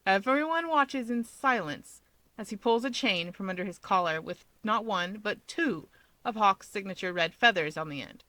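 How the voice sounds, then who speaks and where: A woman's voice: mid-range voice, bright, forceful tone, no echo. A woman narrating scripted fiction expressively as a storyteller.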